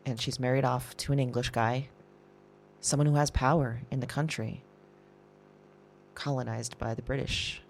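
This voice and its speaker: A woman playing an anxious, shaken woman recording an audio diary, halting and breathy, repeating herself and dropping into whispers. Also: very deep voice, fairly even intonation, a little hiss or hum. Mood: hushed, uneasy. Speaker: audio drama performer. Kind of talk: intimate confessional audio diary acting.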